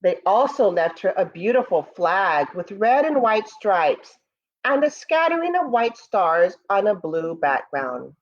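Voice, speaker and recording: A woman's voice: mid-range voice, big pitch swings, measured pace, highs cut off. A woman reading a picture book to young pupils, expressively and with direct asides to her listeners. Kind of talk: children's read-aloud with asides.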